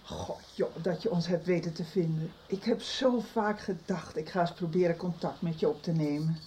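A woman's voice: on the low side, normal speed, mellow, a little hiss or hum, close to an everyday voice. An actress playing a chatty, welcoming middle-aged Dutch woman in a radio soap.

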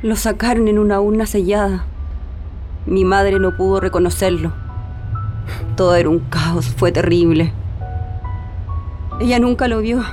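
An actress with a Chilean accent playing a grieving daughter who gives sorrowful, reflective testimony in a radio drama. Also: female voice, medium pitch, a little slow, pauses often, darkish tone, soft background music, lightly acted. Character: grieving daughter recounting her father's death.

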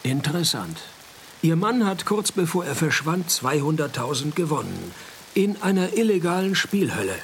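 An actor playing a wry, deadpan male private detective who narrates and talks through a noir parody radio play. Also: fairly high pitch, normal speed, a little hiss or hum. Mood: dry, probing. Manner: dry, sardonic, laconic.

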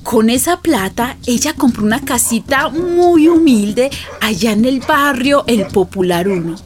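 An actress playing an affectionate, confiding neighbour who narrates a radio drama, colloquial, with a Colombian accent. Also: female voice, on the high side, lively intonation, a little slow, soft background music. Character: affectionate gossipy woman neighbour narrating her friend's life.

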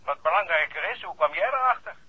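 Brisk senior policeman, high rough voice, thin phone-filtered sound in a vintage radio play. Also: expressive, dry recording. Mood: brisk, confidential. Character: brisk, authoritative titled police chief on the phone. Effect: telephone filter. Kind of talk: 1960s radio drama acting. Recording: tinny, narrow old radio phone line.

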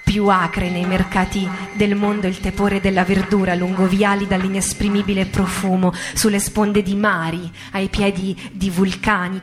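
A woman recites poetry as radio theatre, in a solemn, deliberate way with dramatic pauses. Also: mid-range voice. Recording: music under the voice. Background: music.

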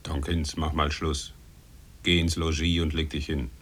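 Radio drama officer: deep bass, quietly commanding, concerned. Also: male voice, little pitch movement, measured pace, slightly rough, heavily acted. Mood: calm, firm. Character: calm male ship's officer, firm but concerned.

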